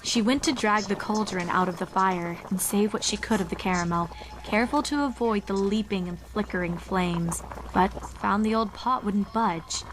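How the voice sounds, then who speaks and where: A woman's voice: medium pitch, moderate pace, hardly any pauses, slight background noise, low-quality recording. A woman reading a horror fan fiction story aloud as its narrator.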